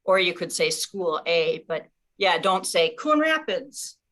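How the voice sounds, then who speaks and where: Meeting leader: woman, lower-pitched yet bright, informal and conversational. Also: very animated, slow. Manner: casual, conversational, thinking aloud. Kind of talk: informal explanation in a staff meeting.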